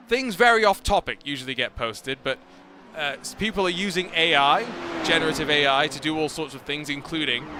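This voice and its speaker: A man's voice: on the high side, sharp tone. A man commentating a sim race, chatting and joking with his co-commentator. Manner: chatty, joking, quick-witted. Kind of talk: live race commentary chat. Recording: noisy commentary mic.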